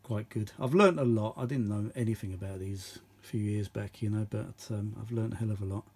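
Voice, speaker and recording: A man's voice: lowish voice, a little fast, darkish tone, breathy edge. A middle-aged man chatting casually and unscripted as host of a vintage audio video, rambling and thinking aloud, often repeating himself. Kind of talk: casual unscripted hands-on chat.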